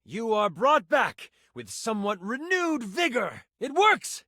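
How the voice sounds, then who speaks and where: High-voiced, theatrical game master guy. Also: measured pace, dry recording, clean studio sound, full character voice. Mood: narrating, then amazed, delighted. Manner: theatrical, expressive, shifts between grand narration and casual asides. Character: excitable, theatrical nerdy male dice game master. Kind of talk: video game character voice lines, dramatic tabletop narration.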